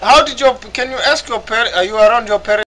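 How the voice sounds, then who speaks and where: A man's voice: extremely high pitch, fast talker, bright, forceful tone. A man in a gaming voice chat, firing blunt, provocative questions at other players. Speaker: guild member on voice chat.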